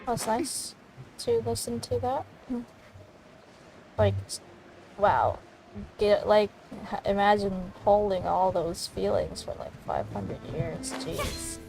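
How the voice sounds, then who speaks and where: A woman's voice: medium pitch, slow, vocal fry, music in the background, rough recording. A woman giving casual commentary as she reacts to a video game story. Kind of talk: casual let's-play commentary.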